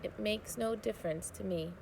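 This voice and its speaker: Narrator doing a despairing male toy rabbit in a storybook, quiet and flat, slight gravel. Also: medium pitch, moderate pace, a little hiss or hum, close to an everyday voice, poor audio. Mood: resigned. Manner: flat, hopeless, resigned. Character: weary, bitter male china toy rabbit.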